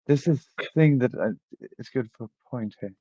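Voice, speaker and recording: A man co-hosting a casual reaction chat, halting and profane with many restarts. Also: medium pitch, no echo, muffled recording. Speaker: podcast co-host.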